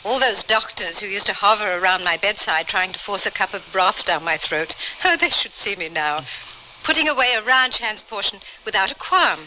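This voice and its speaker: A woman's voice: medium pitch, lively intonation, normal speed, lightly acted. An actress playing a prim, strong-willed, pious lady adventurer with formal, genteel speech in an old radio drama. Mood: resolute. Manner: formal, genteel, precise phrasing. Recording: noisy old radio recording.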